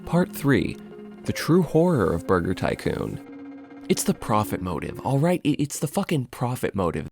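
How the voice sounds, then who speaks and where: Animated, sardonic man narrating a video essay. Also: medium pitch, normal speed, creaky, over music. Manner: conversational, sardonic, emphatic, swears casually. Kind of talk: scripted video essay narration with casual asides.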